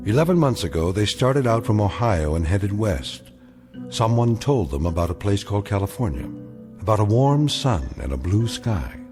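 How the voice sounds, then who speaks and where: A man narrating a radio drama in a measured, solemn storytelling manner. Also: on the low side. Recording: music beneath the voice.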